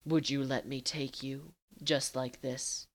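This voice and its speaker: A calm, commanding male lover from an audiobook romance, voiced by a woman. The voice sits very low and soft, with slow, formal phrasing. He teases with quiet control and unhurried seduction. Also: exaggerated voice. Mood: low, commanding, teasing. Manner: formal, measured, softly teasing.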